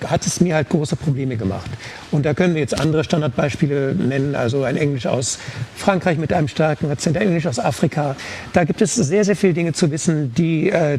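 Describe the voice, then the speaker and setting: Higher-pitched man explaining, with background noise. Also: a little mellow. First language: German. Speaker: volunteer interpreter on a discussion panel. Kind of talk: unscripted explanatory talk.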